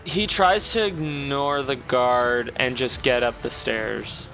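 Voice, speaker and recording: A man's voice: medium pitch, fairly even intonation, slow pace, gravelly, pushed and bright, faint music behind, rough recording. A man bantering casually with friends as a player in a tabletop game.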